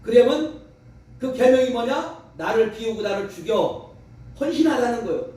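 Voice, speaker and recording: A male revival preacher speaks in a high voice with emphatic, exhorting delivery. The sound is heavily echoing and picked up from a distance, with faint noise behind him. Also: expressive, moderate pace, dark tone. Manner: emphatic, exhorting, with rhetorical questions. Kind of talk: fervent revival sermon and prayer. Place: large echoey room at a revival meeting.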